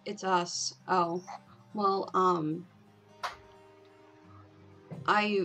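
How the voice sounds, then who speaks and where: A woman's voice: mid-range voice, creaky, a bit bright. Playful woman chatting over music. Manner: playful, rambling, crude. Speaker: livestream host. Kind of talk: casual livestream chat. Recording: music playing behind the voice.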